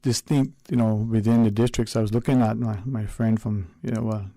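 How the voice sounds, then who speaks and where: Gravelly, mellow-voiced radio talk host. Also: male voice, on the low side, fairly even intonation, no echo. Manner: hesitant and unhurried, many fillers. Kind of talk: radio talk show discussion. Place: radio studio.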